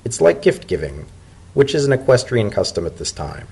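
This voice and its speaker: A man's voice: on the low side, big pitch swings, creaky, darkish tone, slightly rough, heavily acted. A man voicing a polite, thoughtful pony diplomat in a storybook reading, speaking formally and sincerely. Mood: tender, reflective. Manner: formal, measured, sincere speech. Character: earnest, polite male pony civil servant, thoughtful diplomat.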